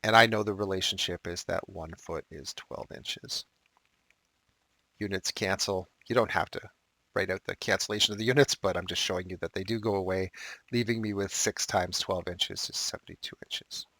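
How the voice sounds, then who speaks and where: Low-voiced man teaching math step by step. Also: steady tone, normal speed, sharp tone, no room sound. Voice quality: slightly raspy, dry throat. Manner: explains step by step with asides and fillers. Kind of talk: recorded math lesson walkthrough.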